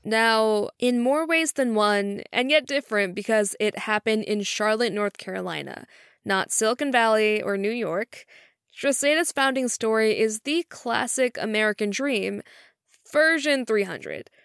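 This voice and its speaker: A woman's voice: on the high side, a little slow, fairly bright tone, clean tone, dry recording, clean studio sound. A woman hosting a company podcast, reading an upbeat scripted intro and then questioning her guests with enthusiasm. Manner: upbeat, enthusiastic, slightly sing-song delivery. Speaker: podcast host.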